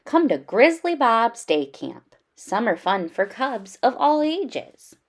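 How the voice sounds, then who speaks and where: A kindly storybook mother bear, acted by a woman reader. She is patient and encouraging, with a very lively, coaxing lilt. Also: fairly high pitch, slow pace, voice pushed up, heavily acted. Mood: reading aloud enticingly.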